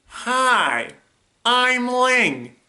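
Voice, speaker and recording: A woman puts on a skinny young male cartoon soldier, a wisecracker with a bright, high voice. The delivery is theatrical and teasing, swinging widely in pitch. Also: very animated, very slow, full character voice. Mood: cheery.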